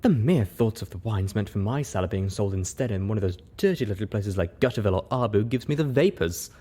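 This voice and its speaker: A man's voice: fairly low pitch, expressive, a little fast, mellow. Man voicing a haughty society pony mare. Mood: disdainful. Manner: haughty, fussy. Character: snobbish, prim society mare.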